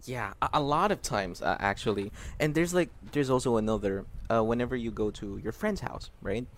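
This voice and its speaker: A man's voice: medium pitch, a little fast, slight background noise. A man hosting a casual podcast chat, laughing often and slipping into playful quoted asides. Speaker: podcast host.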